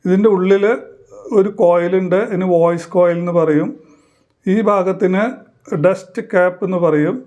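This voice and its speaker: A man explaining a hands-on demonstration in halting, short phrases, like a tutorial presenter. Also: high voice, fairly even intonation, dark tone, highs cut off.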